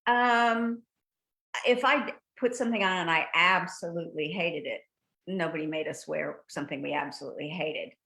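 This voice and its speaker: An older woman, a guest actress, chatting in an interview over a video call, telling lively stories and laughing often. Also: on the low side, moderate pace, creaky. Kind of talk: casual interview chat.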